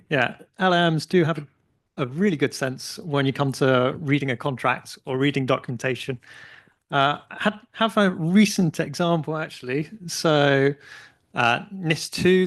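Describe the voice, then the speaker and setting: A man speaking as a panelist at an industry forum, relaxed and hesitant with many fillers. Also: on the high side. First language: English. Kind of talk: conversational panel discussion.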